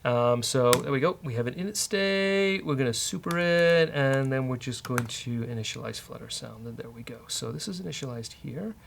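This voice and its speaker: A man's voice: mid-range voice, moderate pace, gravelly, few pauses, a little hiss or hum. A man hosting a casual programming walkthrough, thinking aloud in a wry, hesitant way. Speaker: host, programming instructor. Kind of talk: casual live-coding walkthrough.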